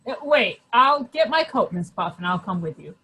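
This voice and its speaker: A woman's voice: mid-range voice, very animated, normal speed, sharp tone, slight background noise, rough recording. A woman playing a kindly, concerned male next-door neighbour in a radio drama, speaking earnestly and with sympathy. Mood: sympathetic.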